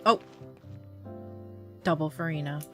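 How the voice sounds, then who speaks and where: A woman's voice: low-pitched, slow, over music. A woman game streamer giving casual, playful reactions as she plays. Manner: playful, expressive.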